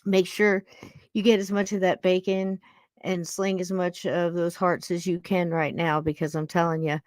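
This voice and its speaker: Low-voiced, folksy woman in her sixties. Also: flat delivery, normal speed, no echo. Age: 60s. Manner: affectionate, folksy, rambling. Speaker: podcast host. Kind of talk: casual chat to live listeners.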